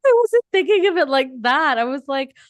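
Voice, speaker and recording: A woman's voice: high-pitched, normal speed. A woman co-hosting a casual podcast chat, talkative and laughing easily. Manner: chatty, laughing, animated. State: recovering from a head cold. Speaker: podcast co-host.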